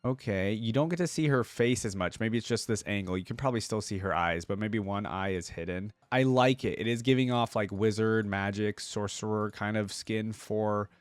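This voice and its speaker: A man's voice: fairly low pitch, normal speed, few pauses, no echo. A man giving casual, slangy reaction commentary as a video game host talking to his viewers. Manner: informal, slangy, addresses viewers.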